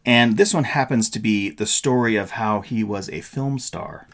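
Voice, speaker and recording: A man's voice: on the low side, normal speed, fairly bright tone. A middle-aged man giving a casual, playful, rambling explanation in a show-and-tell vlog style. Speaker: vlogger.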